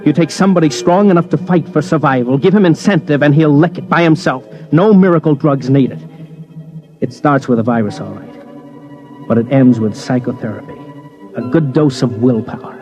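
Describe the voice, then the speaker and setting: A man's voice: mid-range voice, normal speed, a little mellow, natural voice. An American man playing a hard-bitten newspaper reporter, narrating his story in a terse, wry 1950s radio drama style. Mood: wry, matter-of-fact. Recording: muffled old radio recording, faint music.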